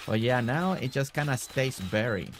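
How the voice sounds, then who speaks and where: A man's voice: medium pitch, lively intonation, moderate pace, slight background noise. A man streaming a building game, chatting casually with viewers by name and a little congested. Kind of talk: casual live-stream chat while building.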